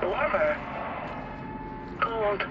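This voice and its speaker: A woman's voice: medium pitch, animated, very slow, muffled recording, background noise. An actress playing a cool, cryptic female computer guide in a video game, giving terse, clipped announcements.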